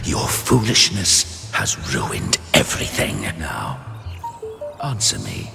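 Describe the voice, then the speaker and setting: An actor playing a cold, sinister male doll maker in a video game, slow and taunting. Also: very deep voice, wildly swooping pitch, gravelly, full character voice. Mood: menacing. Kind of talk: game voice acting. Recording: game audio with long reverb and music.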